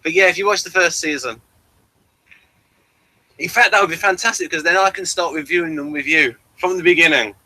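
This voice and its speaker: A man's voice: a little high, brisk, long pauses, sharp tone, no echo, low-quality recording. A man hosting a casual review discussion of a TV series, emphatic and given to repeating his words.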